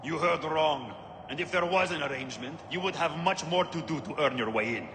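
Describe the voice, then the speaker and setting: A man's voice: fairly high pitch, a little fast, lightly acted. A man playing a mocking, short-tempered gladiator boss who blusters with sarcasm and scorn in a video game. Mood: curt, scornful. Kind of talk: video game cutscene acting. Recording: very loud arena background noise.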